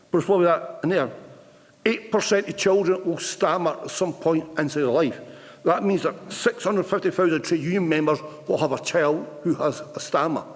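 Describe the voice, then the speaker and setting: A man in his fifties, a retired union rep giving an earnest, plain-spoken conference speech with occasional stammered restarts in a large hall. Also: fairly high pitch. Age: 50s. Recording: long hall reverb.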